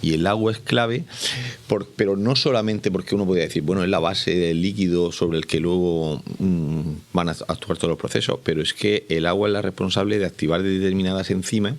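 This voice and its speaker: A man's voice: sits low, steady tone, brisk. A man speaking as an academic expert, explaining informally and didactically, often posing questions and answering them himself. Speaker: biochemistry professor, expert.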